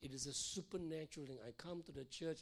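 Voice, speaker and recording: A middle-aged male pastor preaching in a church, hoarse from losing his voice, repeating phrases and checking in with "all right?" and "okay?". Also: fairly high pitch, fairly even intonation, fast. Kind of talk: sermon teaching.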